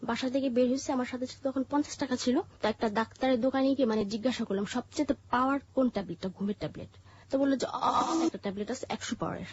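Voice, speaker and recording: A woman with a Bangladeshi accent telling her life story in an interview, haltingly, with pauses and repeated phrases. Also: a little high, darkish tone, a little hiss or hum. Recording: muffled, dull lo-fi audio.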